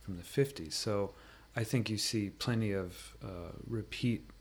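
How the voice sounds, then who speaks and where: Low-pitched man giving measured commentary. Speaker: interview guest. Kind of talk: analytical conversational commentary.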